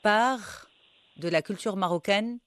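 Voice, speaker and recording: A man's voice: high-pitched, slow, a bit bright, no echo. A man interviewing a guest, asking questions hesitantly and searching for words.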